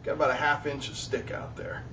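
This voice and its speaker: A man in his forties talks through a welding demo in a home workshop, cracking jokes at himself as he fiddles with parts. His voice sits slightly back from a lapel mic. Steady shop noise hums underneath. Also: medium pitch, brisk. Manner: joking, self-deprecating, talks while working. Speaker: welding video host. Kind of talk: casual hands-on demonstration. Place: workshop with welding bench, indoors. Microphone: lapel mic clipped to shirt. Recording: distant with noticeable shop noise.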